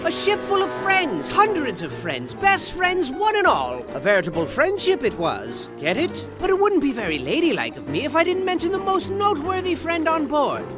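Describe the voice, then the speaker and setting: A man playing a whimsical, excitable storybook narrator for a cartoon game, rambling and theatrical, with silly mock-grand drama. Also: very high voice, moderate pace, few pauses, over music, full character voice. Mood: playful, whimsical. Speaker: cutscene narrator.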